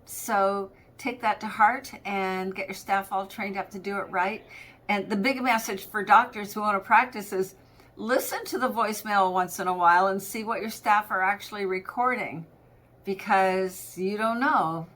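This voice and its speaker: Female training coach, middle-aged, mid-pitched voice, conversational and anecdotal instructional delivery. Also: moderate pace, fairly bright tone, poor audio. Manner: conversational, anecdotal, acts out example phone greetings. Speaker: practice management coach presenting a training episode. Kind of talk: informal instructional talk.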